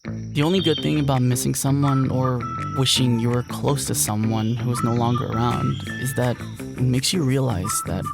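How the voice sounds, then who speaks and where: A man playing a grieving country man who fondly and reflectively remembers his late grandfather, with dramatic pauses, in an audio drama. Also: mid-range voice, fairly even intonation, vocal fry, natural voice. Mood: wistful. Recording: loud music behind the voice. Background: music.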